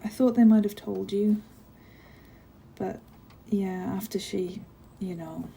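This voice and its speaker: A woman's voice: mid-range voice, steady tone, slow pace, vocal fry, breathy edge, heavily acted. A woman playing a friendly, chatty giantess office worker in an ASMR roleplay, caring and a little hesitant as she talks to a tiny colleague. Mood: caring, confiding. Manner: bubbly, caring, apologetic, hesitant with soft laughs. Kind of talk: ASMR roleplay, one-sided conversation.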